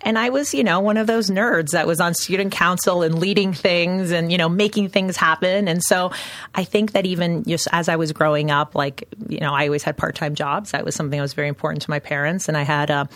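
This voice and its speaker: A woman's voice: fairly low pitch, fairly even intonation, brisk, a bit bright, dry recording. A woman in her late thirties with a Canadian accent, chatting upbeat as an interview guest with plenty of "um"s and "you know"s. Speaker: guest, innovation executive. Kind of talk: casual podcast interview.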